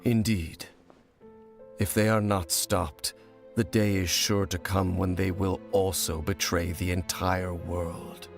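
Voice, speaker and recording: A grave, solemn game wanderer. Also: male voice, sits low, level tone, vocal fry, soft background music, full character voice. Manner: solemn, measured, formal. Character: grave, mysterious male wanderer, guarded and solemn.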